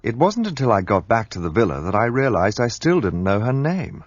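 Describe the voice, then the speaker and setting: Man narrating, low husky voice, calm and reflective, dry close muffled sound. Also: a little fast, dry recording. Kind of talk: first-person narration in a radio play.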